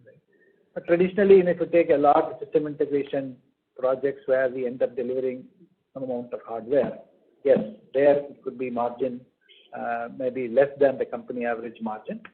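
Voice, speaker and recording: Halting man on a narrowband phone line. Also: mid-range voice, fairly even intonation, slightly rough. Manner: halting, measured. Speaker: company executive. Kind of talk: answering analyst questions on an earnings call.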